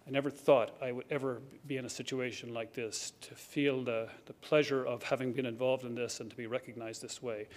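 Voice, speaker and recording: A middle-aged man with a softened Irish accent speaks from a podium microphone in a banquet hall. His voice sits at an ordinary pitch, and he talks in an earnest, reflective way, often restarting his phrases. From Ireland. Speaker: award recipient giving a speech. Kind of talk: heartfelt acceptance speech.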